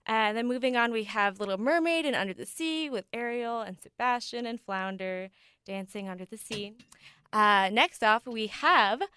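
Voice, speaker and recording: Upbeat young woman, smooth voice. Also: a little high, pushed and bright, dry recording. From United States and Denmark. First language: bilingual English and Danish. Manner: upbeat, eager, laughs often. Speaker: ensemble ice skater, guest. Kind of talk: radio interview answers.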